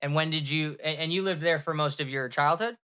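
Male host, bright and forward, easygoing interviewer. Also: a little high, brisk, clear, resonant tone. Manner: conversational, friendly questioning. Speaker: podcast host. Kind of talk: casual interviewing.

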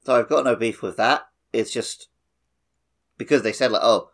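A man casually chatting as a podcast host, animated and dryly opinionated. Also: mid-range voice, moderate pace. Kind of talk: casual chat on a gaming podcast.

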